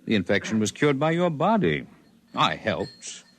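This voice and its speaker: An actor in a 1950s radio drama playing a serene, centuries-old alien healer posing as human, speaking formally and precisely with gentle condescension. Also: male voice, mid-range voice, sing-song, a little slow. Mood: lofty, explanatory. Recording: vintage 1950s radio recording.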